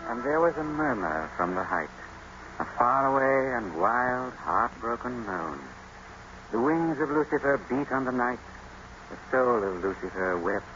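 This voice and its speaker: An actor playing a gentle, devoted gentleman husband, tender and theatrical in 1950s radio drama style. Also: mid-range voice. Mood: reciting verse. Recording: noisy, muffled old radio broadcast.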